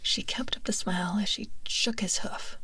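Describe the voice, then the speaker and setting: Whispering woman, breathy ASMR reader. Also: medium pitch, fairly even intonation, normal speed, pushed and bright. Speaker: ASMR streamer reading fan fiction. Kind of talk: whispered ASMR story reading. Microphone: close binaural microphone.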